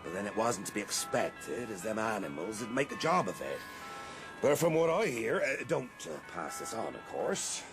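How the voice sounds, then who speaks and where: A man's voice: lowish voice, big pitch swings, moderate pace, over music. A man playing a blustering, suspicious farmer with a rural English accent in a radio drama. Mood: scheming. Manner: rustic, emphatic, grumbling.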